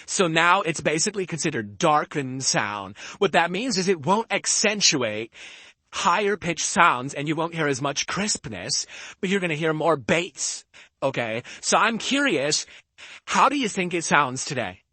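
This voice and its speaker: A middle-aged man talks into a desk microphone at home, ranting and swearing freely. His voice is bright and somewhat husky, pitched a little high, and it sounds dry and close. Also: big pitch swings, a little slow, no echo. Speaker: streamer. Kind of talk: casual stream talk and rant. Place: home room with window and fans. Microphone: desk microphone at normal distance.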